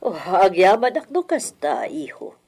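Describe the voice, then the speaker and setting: Secretive aging matriarch , female actor, low breathy-rough voice, brooding radio drama delivery. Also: very animated, moderate pace, a little mellow. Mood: reproachful. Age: older.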